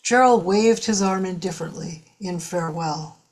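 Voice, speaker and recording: A woman with a husky voice reading scripted story narration for a radio drama. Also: fairly low pitch, normal speed, low-quality recording.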